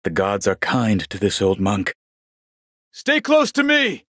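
An old warrior monk from a video game, genial and a little vain. His husky voice swings widely in pitch as he delivers courtly, wryly pious lines at an unhurried, slow pace. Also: huge pitch swings, a bit bright, dry recording, studio quality, heavily acted. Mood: calm, wry, then urgent, commanding. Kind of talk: scripted game character voice lines.

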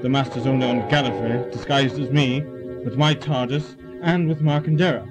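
A man's voice: medium pitch, fairly even intonation, normal speed, over loud music, lightly acted. A man playing a flustered alien time-traveller in an audio drama, muttering to himself. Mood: flustered, exasperated. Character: flustered alien time-traveller hero trapped in another body. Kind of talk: sci-fi audio drama acting.

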